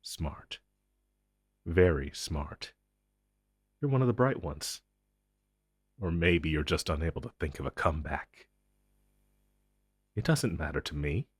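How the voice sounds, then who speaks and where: A man playing an inhuman monster interrogator in an intense audio roleplay, taunting and condescending, with deliberate theatrical pauses. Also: rumbling low, expressive, husky, dry recording, clean studio sound, full character voice. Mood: mocking, smug. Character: menacing male monster interrogator, inhuman, smug and possessive.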